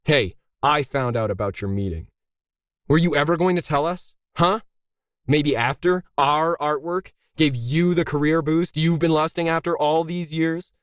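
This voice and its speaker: A man's voice: fairly high pitch, a little slow, smooth, no room sound, studio quality, pitched above the performer's own voice, natural voice. A man voicing a bitter middle-aged male artist in a story, indignant, pressing and sarcastic. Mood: accusing, sarcastic.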